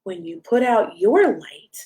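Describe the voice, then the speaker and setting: A woman's voice: medium pitch, sing-song, slow pace, warm tone. A woman reading a rhyming children's picture book aloud as a storyteller, in a playful, sing-song way with emphasis on the rhymes.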